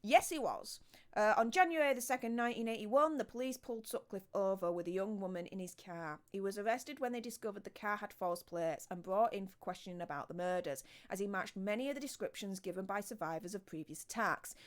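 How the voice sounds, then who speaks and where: A woman's voice: mid-range voice, a little fast. A young woman with a Yorkshire accent hosting a casual commentary vlog, chatting with lots of fillers and restarts and reading out article passages. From Yorkshire, northern England. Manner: chatty, with many fillers and restarts, reads article excerpts aloud. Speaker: YouTube host.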